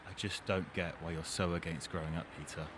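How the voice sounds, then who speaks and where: Low voice, noisy recording, young man acting as earnest troubled teenage lad, reflective, audio drama. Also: steady tone, a little fast, close to an everyday voice. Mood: earnest, reproving. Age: young adult. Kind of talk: amateur audio drama dialogue. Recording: noisy amateur recording, environmental rumble.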